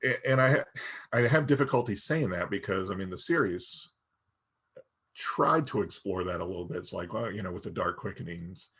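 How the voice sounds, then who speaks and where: A man's voice: sits low, moderate pace, fairly bright tone, breathy edge. A man co-hosting a podcast, giving his opinions casually and thinking aloud in a hesitant, conversational way. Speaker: podcast co-host. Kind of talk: casual review discussion.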